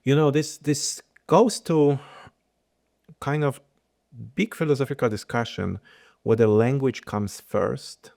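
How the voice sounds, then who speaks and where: A man's voice: mid-range voice, takes it slowly, creaky, a little mellow. A man whose first language is Polish, hosting a podcast and asking curious questions with hesitant fillers. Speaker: podcast host. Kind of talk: podcast interview.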